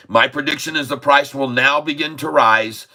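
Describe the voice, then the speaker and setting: A man's voice: mid-range voice, normal speed, sharp tone. A man in his sixties hosting a casual live stock chat, jokey and upbeat, chuckling often between remarks. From Haiti. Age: 60s. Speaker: stock livestream host.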